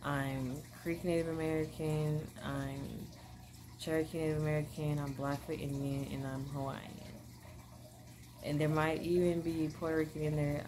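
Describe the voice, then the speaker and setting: A woman's voice: low-pitched, noisy background. A young woman vlogger chatting casually to camera from her living room, bubbly and rambling with lots of fillers. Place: small living room at home.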